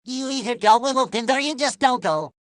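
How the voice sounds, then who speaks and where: An actor voicing a cheerful, pushy puppet coffee pitchman in a comedic ad sketch, chipper and deadpan about mayhem. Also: brisk, no echo, studio quality, lightly acted. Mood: chipper menace.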